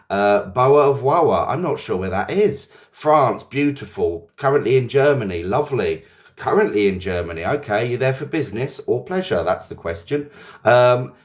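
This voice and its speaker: An English man runs a live-streamed English class. His voice sits slightly low, and he stays cheerful and chatty, greeting viewers one by one. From England. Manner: upbeat, greets viewers by name. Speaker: English teacher.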